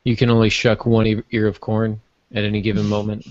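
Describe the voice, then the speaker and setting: A man's voice: fairly low pitch, completely flat delivery, a little fast, a little mellow, no echo. Male co-host for casual podcast banter, dryly teasing, no room sound, muffled lo-fi recording.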